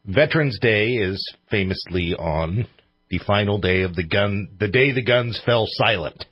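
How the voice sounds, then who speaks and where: Husky low-voiced man hosting, muffled room sound. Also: animated, a little slow, dry recording. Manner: informal, joking. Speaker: radio show host. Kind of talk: casual chat.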